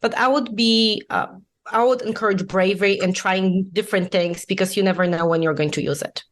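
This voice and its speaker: A woman in her forties from Poland with a Polish accent, a guest accountant in a podcast interview, explaining in a measured way with frequent pauses. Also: medium pitch, steady tone.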